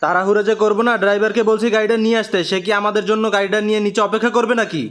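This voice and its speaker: A bossy family man in a cartoon, acted by a man, hurrying everyone along in a high, quick voice. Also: flat delivery, fairly bright tone. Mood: impatient. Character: bossy, hurried father and husband. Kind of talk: amateur animated cartoon dub.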